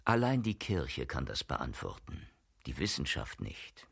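An actor playing a learned man who gravely expounds on dark occult matters in a radio play, speaking in a deliberate, erudite way. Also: very deep voice, huge pitch swings, full character voice. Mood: sceptical, lecturing. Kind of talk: German radio play dialogue. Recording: music behind the voice.

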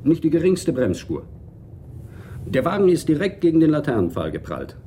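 A police official gives facts in a matter-of-fact way. The mid-pitched voice is mellow and varied in melody, over a faint rumble, as in a radio play. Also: male voice, wildly swooping pitch, moderate pace. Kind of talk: radio drama acting.

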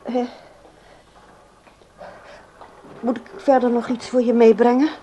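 An actress playing an uneasy, self-interested adult daughter in a radio play, with a hesitant, stammering delivery. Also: female voice, fairly high pitch, little pitch movement, brisk, warm tone, highs cut off, slight background noise, lightly acted. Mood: awkwardly dutiful.

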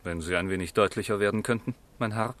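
Casting: male actor as civil but reproving gentleman for period radio play; lowish, restrained. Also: quick pace, lightly acted. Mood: stiff, reproving. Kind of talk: German literary radio drama acting.

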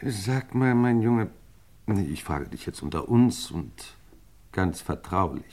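A genial old lord in a vintage radio mystery. His voice sits low and swings widely in melody. He speaks with courtly, avuncular fondness and small exclamations. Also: animated, slow pace, a little mellow, full character voice. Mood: confidential, probing. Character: genial, tippling old English lord, doting uncle. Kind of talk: 1950s-80s German radio crime drama acting.

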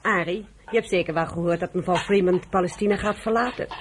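A woman's voice: on the low side, fairly even intonation, quick pace, natural voice. A woman playing a jealous, scornful young daughter in a Dutch radio drama, curt and judgmental. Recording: noisy old radio drama recording.

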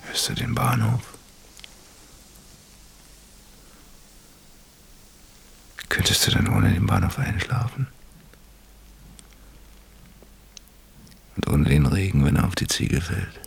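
A man acting a gentle husband who soothes his wounded wife in an intimate radio play, speaking in a hushed, tender, husky way. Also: very deep voice, wildly swooping pitch, fairly quick, in a whisper, heavily acted. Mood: tender, coaxing. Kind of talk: intimate naturalistic German radio drama acting.

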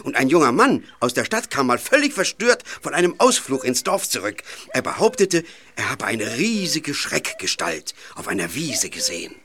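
A man's voice: mid-range voice, big pitch swings, moderate pace, sharp tone. An actor playing a friendly village man in a radio play, telling eerie local tales in a folksy way. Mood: storytelling. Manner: folksy, storytelling.

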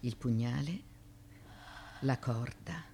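A woman acting a proud, desperate ancient Greek woman in a theatrical radio drama monologue, speaking with deliberate intensity and questioning herself. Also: extremely low pitch, dark tone, slight background noise, full character voice. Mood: grim resolve.

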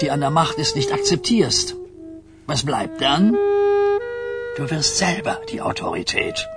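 An aged Sicilian godfather in a radio play. His delivery swings widely as he ponders and lectures, coarse yet commanding. Music plays behind his medium-pitched voice. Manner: pondering, lecturing, checks listener follows. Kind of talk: radio drama acting.

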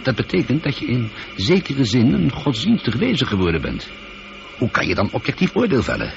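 A man's voice: medium pitch, wildly swooping pitch, brisk, vocal fry. An actor playing a bored, irritable space colonel in his forties in a radio drama, with a sardonic, grumbling, teasing manner. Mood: probing, uneasy. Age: 40s. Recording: dull, noisy old radio recording.

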